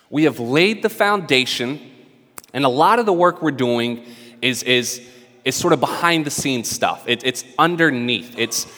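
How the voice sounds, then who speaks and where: A man from North Carolina with a Southern accent, preaching casually to his church while raspy from a cold. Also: mid-range voice, a bit bright, long reverb tail. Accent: Southern American. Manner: casual, conversational preaching with frequent restarts. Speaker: pastor. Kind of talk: sermon. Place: church service in a hall.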